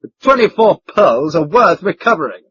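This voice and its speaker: A rigid, commanding old colonel, played by a male reader, harsh and raucous. He barks orders in a voice that climbs high and swings widely. It sounds dry and slightly muffled. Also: sing-song, moderate pace, a bit bright, husky, no echo, full character voice.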